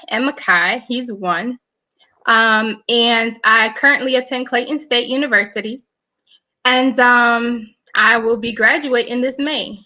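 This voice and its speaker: Bright-voiced young woman telling her story. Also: on the high side, low-quality recording. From New York. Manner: earnest, conversational, with frequent fillers. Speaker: guest speaker. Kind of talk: personal testimony talk.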